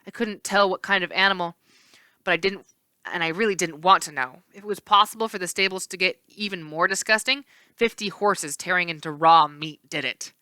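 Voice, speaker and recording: A woman reading a children's novel aloud as an expressive storyteller. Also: lowish voice, fairly quick, no room sound, clean studio sound. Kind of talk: expressive audiobook-style narration of a children's novel.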